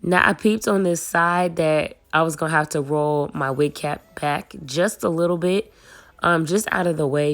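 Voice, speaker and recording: A young woman, hoarse from a cold, chatting casually to viewers as she walks through a wig install. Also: sits low. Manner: casual and chatty, slangy, addresses viewers as y'all. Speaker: beauty vlogger. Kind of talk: casual wig tutorial chat.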